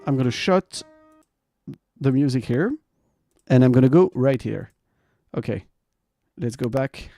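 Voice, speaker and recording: Animated man chatting on a livestream. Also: medium pitch, a little slow, creaky, pauses often, no echo, soft background music. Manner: rambling, informal, swears casually; animated, laughs often. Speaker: variety streamer and host. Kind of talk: casual livestream chat to viewers.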